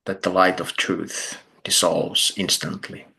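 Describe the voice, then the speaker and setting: A man leading a guided meditation in a deliberate, measured way, leaving long pauses between short phrases. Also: low-pitched, level tone, vocal fry, pushed and bright, husky. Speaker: meditation teacher.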